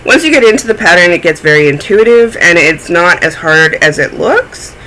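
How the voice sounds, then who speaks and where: Male podcast host, a touch phlegmy, chatty and self-mocking, with a sharp, bright tone. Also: high voice, expressive, moderate pace, a little hiss or hum, rough recording. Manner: chatty, rambling, self-deprecating. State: a little phlegmy. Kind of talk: casual chat.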